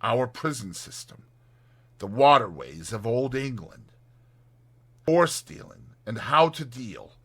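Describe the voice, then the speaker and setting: A male audiobook narrator with a bright voice. He reads scripted storybook prose in a dramatized style, with lively swings in pitch. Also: slow, pauses often, slightly rough.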